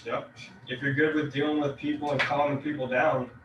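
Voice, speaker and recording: A man's voice: fairly high pitch, fairly quick, lo-fi sound. Man lecturing in an echoey room. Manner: relaxed, filler-heavy explaining. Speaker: real estate instructor. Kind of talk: casual classroom lecture. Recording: echoey room, distant mic, noisy.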